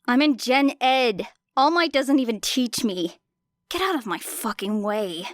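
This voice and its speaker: A woman's voice: on the high side, lively intonation, unhurried. A woman voicing a wary, angry teenage schoolgirl with a curt, strained delivery in an audiobook. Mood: cold anger. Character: angry, wary, foul-mouthed teenage schoolgirl prone to panic. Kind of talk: audiobook character dialogue.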